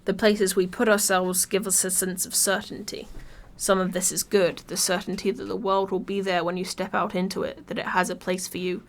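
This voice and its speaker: A woman's voice: mid-range voice, level tone, moderate pace. A woman from Birmingham with an English accent reads a scripted video essay in a wry, informal, sweary way. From Birmingham, England.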